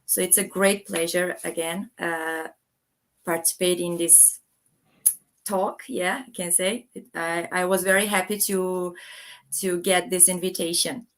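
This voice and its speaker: A woman's voice: lowish voice, fairly even intonation, measured pace. A woman in her thirties from Armenia, native Armenian speaker, presenting her country on a video call with many fillers and restarts. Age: 30s. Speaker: guest speaker. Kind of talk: online talk presentation.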